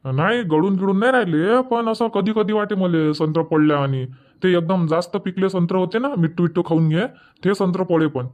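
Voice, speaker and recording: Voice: medium pitch, a little fast, darkish tone, close to an everyday voice. A performer voicing an argumentative male farmer in a comic cartoon, with a rustic Varhadi Marathi accent and grumbling manner. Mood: matter-of-fact. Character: easygoing but argumentative male village farmer husband. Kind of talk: comic cartoon dialogue dubbing.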